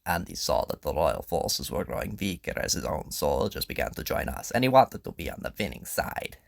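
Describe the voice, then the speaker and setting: A man playing a gruff, grudging general in an audio drama, curt and surly in his speech. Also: very deep voice, sing-song, fairly quick, dry recording, studio quality, pitched below the performer's own voice, heavily acted. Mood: scornful.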